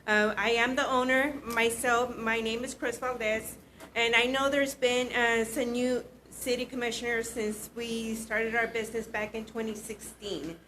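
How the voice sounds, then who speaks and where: A woman with a fairly high, bright voice introduces herself to a city council. She talks in a friendly, informal way, pausing on fillers. A faint hiss and light room ambience sit behind her at the podium microphone. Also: level tone. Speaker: small business owner addressing council. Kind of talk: public comment at a council meeting. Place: city commission chamber.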